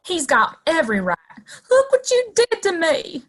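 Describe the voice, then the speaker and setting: A woman's voice: very high voice, wildly swooping pitch, a little slow, exaggerated voice. A woman acting a feisty, wronged saloon girl in a western audio play, sassy and scornful. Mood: indignant.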